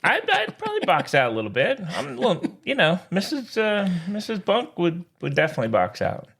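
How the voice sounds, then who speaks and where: A man's voice: sits high, wildly swooping pitch, a little fast, vocal fry, fairly bright tone. An American man co-hosting a sports podcast, chatting in an informal, buddy-to-buddy way with casual banter. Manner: informal, peppered with "man", "dude" and "sir".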